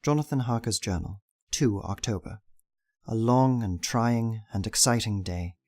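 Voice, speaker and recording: An actor playing an earnest, determined young Victorian gentleman, narrating a journal in a formal, measured way in an audio drama. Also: fairly low pitch.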